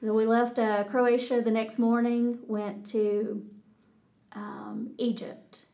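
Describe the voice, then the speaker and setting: A middle-aged woman with a Texas accent, a pilot answering interview questions in a measured way, often restarting her sentences. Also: fairly high pitch, vocal fry. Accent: Texan. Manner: flat, measured, with many restarts. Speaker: pilot guest.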